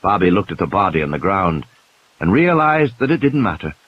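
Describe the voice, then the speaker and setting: A man's voice: low-pitched, big pitch swings, a little fast, highs cut off. A man reading scripted dramatic narration as the storyteller of an audiobook. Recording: clear background hiss and noise.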